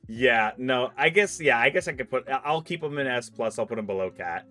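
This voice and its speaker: A man's voice: medium pitch, expressive, brisk, bright, forceful tone. A man in his late twenties hosting a casual gaming chat, asking questions and repeating his phrases. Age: late 20s. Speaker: host leading a tier list discussion.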